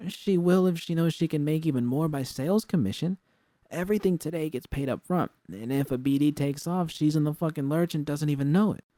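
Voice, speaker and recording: A man's voice: a little high, brisk, mellow, no echo, voice pushed up, close to an everyday voice. A man voicing an earnest, defensive and stubbornly hopeful young woman in an audiobook story, with an insistent, slangy delivery.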